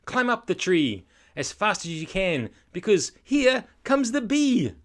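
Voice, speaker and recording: A man voicing an excitable male storybook friend, urgent and eager in rhyming exclamations. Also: medium pitch, measured pace, lightly acted. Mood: alarmed, urgent.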